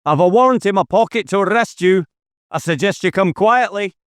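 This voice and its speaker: Man performing a male police inspector, raised pitch, challenging, declamatory, agitated, audiobook dialogue, dry recording. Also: fairly quick, a bit bright, dry recording. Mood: taunting, commanding.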